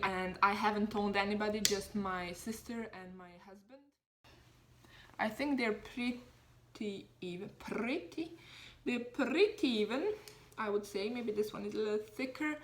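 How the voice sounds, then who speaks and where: A woman's voice: mid-range voice, a bit bright. A woman in her twenties from Serbia chats her way through a makeup routine at home. She sounds self-deprecating and loose, and her mid-range voice carries lots of "you know" and "like." A faint hiss of noise sits under the recording. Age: 20s. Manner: chatty, self-deprecating, many fillers. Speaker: beauty vlogger. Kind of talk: casual makeup tutorial chat.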